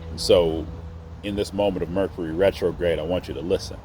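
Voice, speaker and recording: A middle-aged American man from Oklahoma giving a reflective monologue in an earnest, deliberate and emphatic way, pausing between phrases. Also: sits low, fairly even intonation, mellow, soft background music. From Oklahoma, United States. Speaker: host.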